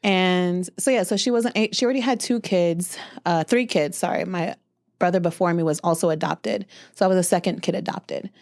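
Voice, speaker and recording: A woman's voice: on the low side, normal speed, vocal fry, a little mellow, dry recording, clean studio sound. A woman in her thirties, originally from Peru, telling her life story as a podcast guest in an easygoing, earnest way with frequent laughter. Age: 30s. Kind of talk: personal storytelling in conversation. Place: podcast studio, seated beside the host.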